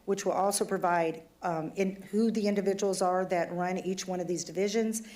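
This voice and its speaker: A woman police chief with a fairly low voice, briefing a city council. Her throat is giving out and she sounds hoarse as she talks. She speaks plainly and conversationally, though the setting is formal. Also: steady tone, moderate pace, a little mellow. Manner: conversational and plainspoken, some fillers. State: losing her voice, throat trouble.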